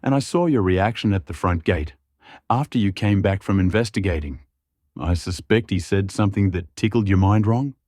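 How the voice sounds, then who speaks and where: A man's voice: deep voice, normal speed, a little mellow, no room sound, studio quality. A man voicing a tall, crooked, shrewd man in a fantasy story, guarded, probing and serious. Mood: suspicious.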